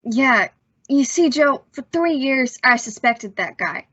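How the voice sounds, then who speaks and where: A woman plays a plucky teenage boy in an old radio thriller. The voice is high and bright, with an earnest, slightly hesitant gee-whiz delivery. It sounds close and dry, with dulled lo-fi audio. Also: expressive, slow pace, breathy edge, no echo, exaggerated voice. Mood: earnest recounting. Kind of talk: old-time radio suspense acting.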